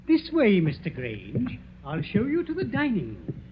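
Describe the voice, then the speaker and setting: Servile butler, high voice swooping all over, polite, old 1940s radio, muffled. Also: male voice, huge pitch swings, measured pace, exaggerated voice. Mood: servile, courteous. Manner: obsequious, formal. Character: Lane, deferential manservant with chatty politeness. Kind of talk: 1940s radio mystery acting. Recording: muffled, hissy vintage 1940s radio recording.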